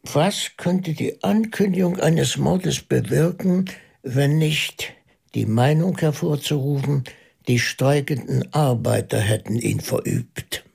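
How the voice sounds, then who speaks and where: An actor playing a pondering priest detective in a German radio play, methodical and intense, musing aloud with halting emphasis. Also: male voice, a little high, vocal fry, darkish tone, slightly rough, heavily acted. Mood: brooding, deliberate. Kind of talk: German radio play dialogue, deliberate monologue.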